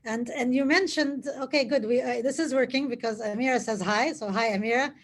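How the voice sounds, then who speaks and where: Enthusiastic female host on a video call, a little high-pitched, repeats for emphasis. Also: brisk, a little hiss or hum. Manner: upbeat, enthusiastic, repeats phrases. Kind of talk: live video interview.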